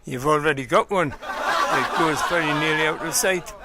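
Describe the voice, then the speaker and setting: A man's voice: a little high, moderate pace, natural voice. A man playing a wisecracking, irreverent junior salesman in a radio sitcom, cheeky and mocking. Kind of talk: radio play sitcom comedy acting. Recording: very noisy with clicks.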